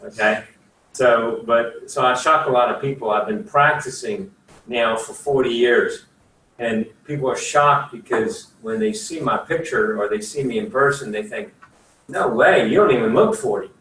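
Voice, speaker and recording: A man's voice: medium pitch, normal speed, a bit bright. A man in his sixties, an attorney, talking casually at a career session in a chatty, folksy way, rambling with many fillers. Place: room at a career services office. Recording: echoey room, far from the mic, faint hiss.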